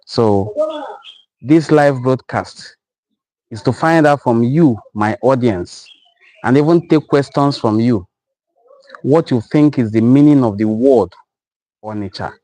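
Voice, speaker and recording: A man with a Nigerian accent, a native Igbo speaker, hosting a live-stream talk and deliberately repeating his appeals to viewers. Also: medium pitch, mellow, dry recording, low-quality recording. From western Igbo land, Nigeria. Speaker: host of a cultural forum live broadcast.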